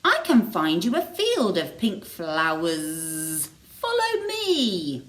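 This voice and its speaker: A woman voicing a big, helpful stripy bee from a storybook, with drawn-out, buzzing words. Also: fairly low pitch, huge pitch swings, heavily acted. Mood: helpful, droning.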